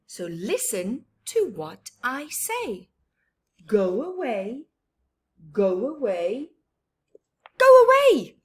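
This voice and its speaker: A woman voicing a grumpy female bird from a storybook who shouts at everyone to go away, shrill and slow. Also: sing-song, husky, exaggerated voice. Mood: cross, shouting.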